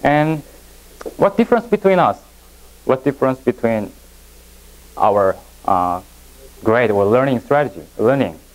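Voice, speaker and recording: A Korean-accented man gives a classroom presentation in English, his second language. He speaks haltingly, restarting sentences and searching for words. His voice sits at a medium pitch for a man. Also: expressive, low-quality recording.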